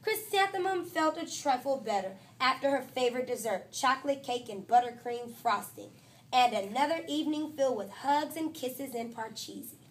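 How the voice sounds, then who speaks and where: High-voiced woman teacher reading aloud. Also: moderate pace. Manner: expressive, voices the characters and talks to listeners. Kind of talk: children's storybook read-aloud.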